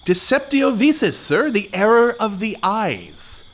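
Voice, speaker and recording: A woman's voice: mid-range voice, very animated, measured pace. A woman playing a scheming, cheeky male servant in an audio farce, with a bustling, bossy, mock-grand delivery. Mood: cheeky defiance. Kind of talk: theatrical period farce in an audio play.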